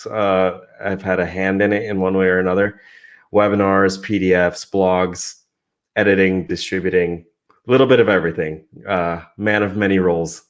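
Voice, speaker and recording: A man's voice: sits low, fairly even intonation, moderate pace. A man from San Francisco, a digital marketer, explaining his work as a guest in a casual video-call interview. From San Francisco, United States. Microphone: podcast-style microphone.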